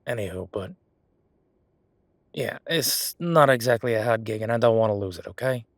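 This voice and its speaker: A man's voice: mid-range voice, moderate pace, no echo, clean studio sound, close to an everyday voice. A man playing a defensive, chatty government records clerk in an audio roleplay, talking in a rambling, colloquial way. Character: male records hall clerk, defensive, chatty, lazy bureaucrat. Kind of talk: solo audio roleplay, conversational acting.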